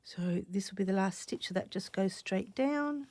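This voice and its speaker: A woman recovering from a cough, chatting casually while she stitches, often dropping to soft half-whispers as she thinks aloud. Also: medium pitch, animated, moderate pace, no echo. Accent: Australian. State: croaky from a cough. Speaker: stitching channel host. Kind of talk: casual crafting vlog chat.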